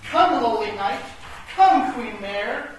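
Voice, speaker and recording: Imperious woman chess king, played by an actress, high-voiced and declamatory, comic radio play, recorded in a very echoey room. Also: lively intonation, takes it slowly, distant mic, slight background noise. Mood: commanding. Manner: theatrical, commanding, declamatory.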